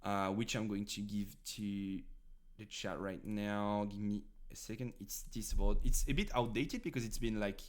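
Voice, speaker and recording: A man's voice: fairly low pitch, fairly even intonation, moderate pace. A young man from France with a French accent, streaming casually and chatting with viewers about card game decks, rambling with many fillers and restarts.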